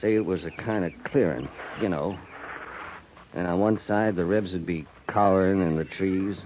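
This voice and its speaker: An actor playing a middle-aged American cavalry veteran in a 1950s radio western, folksy, rambling and quietly troubled. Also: male voice, low voice, moderate pace, natural voice. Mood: brooding, intense. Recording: dull, noisy 1950s radio transcription.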